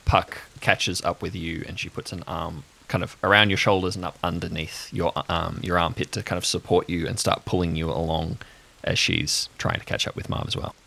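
A man narrating an improvised audio drama as game master, in a measured, dramatic storytelling manner. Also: deep voice, flat delivery, gravelly, sharp tone.

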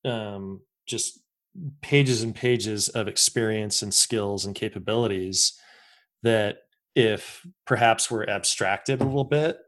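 A man in his fifties hosts a casual conversation, musing aloud in a loose, reflective way. His voice is on the low side, and he laughs easily between thoughts. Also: slow pace, fairly bright tone. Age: 50s. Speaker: podcast host. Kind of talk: casual interview chat.

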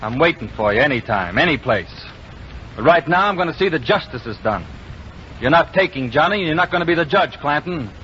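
A man's voice: on the high side, lively intonation, fairly quick, pushed and bright. An American man acting a bold, unflinching Old West lawman in an old-time radio western, firm and taunting. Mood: defiant. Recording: muffled, hissy vintage radio recording.

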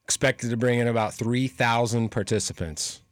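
A man hosting a local radio sports show, reading event listings and trading jokes with his co-hosts. His voice sits at a middle pitch and is recorded dry and close on a studio mic. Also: steady tone, moderate pace, no room sound. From New Hampshire. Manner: upbeat, joking, reads event listings. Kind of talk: casual radio sports chat.

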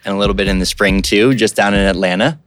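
Low-voiced man hosting a podcast. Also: fast talker, no room sound. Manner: relaxed, with frequent fillers. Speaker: podcast host. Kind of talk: podcast interview.

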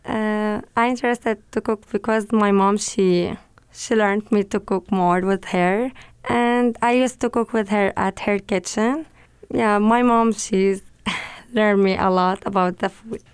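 Syrian woman speaking halting English. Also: medium pitch, steady tone, clean tone, no echo. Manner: simple non-native English, hesitant. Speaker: assistant kitchen manager. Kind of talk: podcast interview guest.

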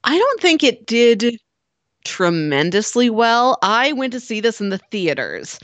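A woman's voice: on the high side, very animated, measured pace, a bit bright, dry recording. A woman co-hosting a casual film discussion, explaining things informally with plenty of "like" thrown in. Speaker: podcast co-host.

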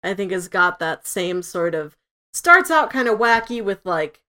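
Female podcast panelist, mid-range pitch, informal conversational delivery, casually giving recommendations. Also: very animated, a little slow, bright, forceful tone. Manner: informal, peppers talk with "like".

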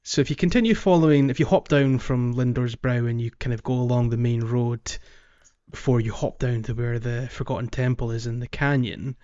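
A man's voice: mid-range voice, fairly even intonation, a little fast, dark tone. Male podcast host, mid-range voice, casual and meandering delivery, slightly lo-fi sound. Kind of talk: casual gaming podcast chat.

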